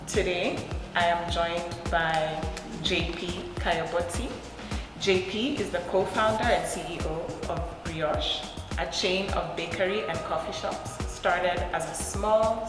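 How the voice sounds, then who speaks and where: Woman podcast host, low voice, curious questioning, lots of ums, very echoey room with long reverb. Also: measured pace, over music. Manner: warm, curious questioning with frequent filler sounds and soft affirmations. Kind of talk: interview.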